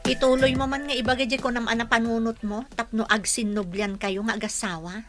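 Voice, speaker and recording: A woman's voice: on the high side, fairly even intonation, a little fast, fairly bright tone, faint music behind, close to an everyday voice. A woman playing a frank, practical, reproachful character in an Ilocano radio drama, questioning and scolding.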